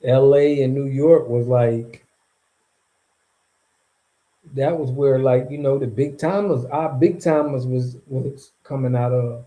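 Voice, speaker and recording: A man hosting a casual livestream, reacting to viewer comments in an animated, slangy and mocking way. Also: medium pitch, slow, long pauses, rough recording. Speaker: streamer reacting to viewer chat.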